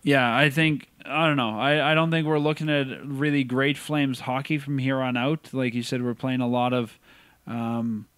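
A man's voice: mid-range voice, level tone, normal speed, a bit bright, clear, resonant tone, dry recording. A man hosting a casual sports podcast, chatting in an upbeat way about hockey. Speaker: podcast host.

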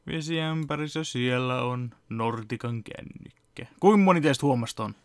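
Gravelly, dry-sounding man in his thirties. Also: medium pitch, no echo. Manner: relaxed, rambling, chuckles often. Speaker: fan dub editor and vlogger. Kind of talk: casual behind-the-scenes walkthrough. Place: home room with computer setup.

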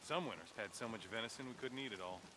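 An actor playing a shrewd survivalist camp leader in a video game, speaking in a folksy, clipped, deliberate way. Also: male voice, lowish voice, close to an everyday voice. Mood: nostalgic, bitter. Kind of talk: video game cutscene acting. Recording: rain and ambient noise behind.

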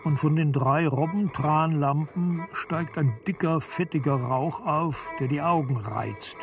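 A man reading literary narration for a radio play in a measured, deliberate storytelling manner. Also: a little high. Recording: studio narration over prominent music. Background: music.

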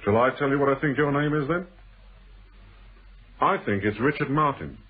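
An actor playing a shrewd, insinuating stranger in a British radio thriller, with a teasing, deliberate way of probing and a husky voice. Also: male voice, medium pitch, lightly acted. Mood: coolly needling. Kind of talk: British radio drama acting. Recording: muffled band-limited old radio broadcast.

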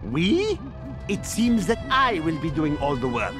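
A man's voice: a little high, huge pitch swings, a little slow. A man playing a grumpy, sarcastic older thief in a video game, with a theatrical, weary manner. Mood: weary grumbling. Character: grumpy aging male thief in a turban, sarcastic complainer. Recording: music behind the voice.

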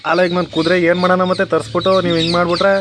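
A man's voice: high-pitched, little pitch movement, very fast. A man with a rural southern Karnataka Kannada accent making a prank phone call, casual and insistent, pestering with feigned earnestness. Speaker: prank caller. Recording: noisy phone call recording.

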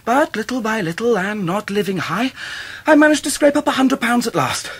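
An actor playing a cheerful, modest young law student with an English accent and an earnest, guileless, chuckling manner in a radio drama. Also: male voice, very high-pitched, animated, normal speed, slightly rough, exaggerated voice. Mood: candid, good-natured.

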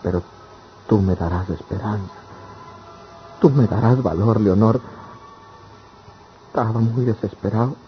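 A man's voice: lowish voice, a little slow, long pauses, natural voice. An actor playing a poor, lovelorn and anguished young country man in a radionovela, speaking plaintively in rustic speech. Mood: pleading. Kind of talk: radionovela acting. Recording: dull, noisy old radio drama recording.

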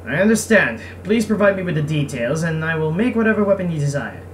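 A woman's voice: sits low, animated, quick pace. A woman voicing a proud, touchy merchant craftsman in a visual novel, with formal, emphatic delivery. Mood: polite, eager. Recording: noisy, distant microphone.

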